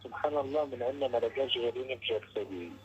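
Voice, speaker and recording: Man, Palestinian Arabic accent, mid-pitched, brief casual questions, faintly noisy phone recording. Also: normal speed. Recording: lo-fi, noisy intercepted phone call.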